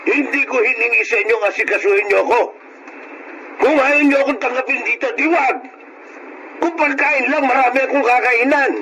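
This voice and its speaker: A man's voice: extremely high pitch, normal speed, bright, forceful tone. An actor with a Filipino accent playing a proud, wayward middle-aged father in a radio drama. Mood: angry, defiant. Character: proud wayward older father, gruff, later remorseful. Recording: noisy radio drama recording.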